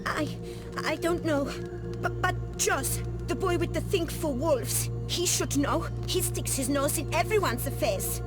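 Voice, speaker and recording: A timid little fairy-tale boy, with an extremely high voice and slow, stammering delivery. He sounds apologetic and unsure, a small video game character with music behind him. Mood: frightened, pleading. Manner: hesitant, stammering.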